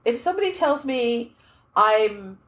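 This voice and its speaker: A woman co-hosts a podcast in casual chat, her voice a little high and swinging widely in pitch. She tells stories in an animated way and laughs often. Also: unhurried. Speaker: podcast co-host. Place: room at a writers' conference.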